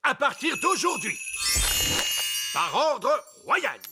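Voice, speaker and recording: A man's voice: very high-pitched, sing-song, slow pace, over loud music, full character voice. A man voicing a self-important, eager fairy king in a French cartoon, with a pompous, commanding manner. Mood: insistent.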